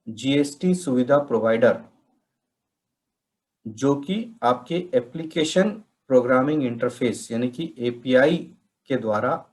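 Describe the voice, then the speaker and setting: A man narrates an instructional slide presentation. He speaks at a slow, measured pace with a mid-range pitch, taking the listener through each point methodically, as a software trainer would. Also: low-quality recording. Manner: methodical, step-by-step explaining.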